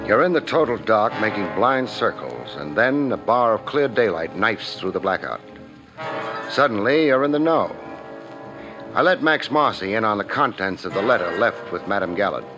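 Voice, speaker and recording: A man's voice: fairly low pitch, very animated, normal speed. A man playing a wry, hard-boiled private investigator in a 1950s radio drama, with a clipped tough-guy delivery. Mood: knowing. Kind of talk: 1950s radio detective drama acting and narration. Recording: muffled 1950s radio recording with music.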